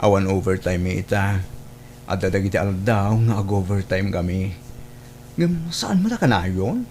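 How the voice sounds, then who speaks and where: A man's voice: low voice, expressive, normal speed, darkish tone, slight background noise. A man with an Ilocano Filipino accent playing a polite, plain-spoken office coworker in an audio drama. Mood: polite, matter-of-fact. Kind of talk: Ilocano radio-style drama acting.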